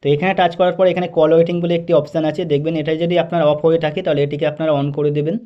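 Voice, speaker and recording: A man's voice: on the high side, little pitch movement, very fast. A man narrating a step-by-step phone tutorial in a methodical, instructional way with repetitive phrasing. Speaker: tech tutorial host. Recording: dull, lo-fi voice-over recording.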